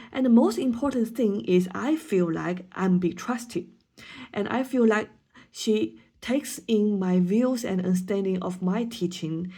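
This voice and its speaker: Slow, hesitant woman with a Chinese accent. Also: mid-range voice, dark tone, breathy edge. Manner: hesitant, frequent ums and restarts. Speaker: student teacher presenting an assignment. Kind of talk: prepared class presentation.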